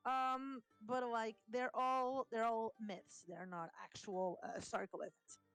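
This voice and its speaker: A woman from Portugal, a native Portuguese speaker, explaining mythology in casual podcast chat, pausing often with ums. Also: on the high side, fairly even intonation, a bit bright, no echo, faint music behind. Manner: hesitant, many ums and restarts. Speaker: podcast co-host, explaining history.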